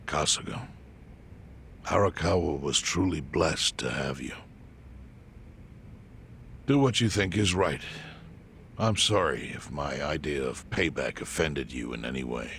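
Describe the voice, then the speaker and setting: An actor playing a calm, solemn older yakuza clan chairman in a video game, speaking in a measured, deliberate way. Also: a bass voice, vocal fry, a little hiss or hum, heavily acted. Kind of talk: video game cutscene dub acting.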